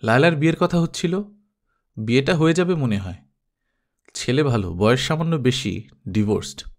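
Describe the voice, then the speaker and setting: A man's voice: medium pitch, animated, normal speed, close to an everyday voice. A man playing a talkative, wistful middle-class elder brother in an audio story, rambling on matter-of-factly. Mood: awkward, rambling.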